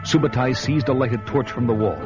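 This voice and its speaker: An actor playing a grim, vengeful barbarian warrior who tells his saga in solemn, deliberate dramatic style. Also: medium pitch, natural voice. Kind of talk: dramatic first-person radio narration and acting. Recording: dull lo-fi radio recording, loud music behind.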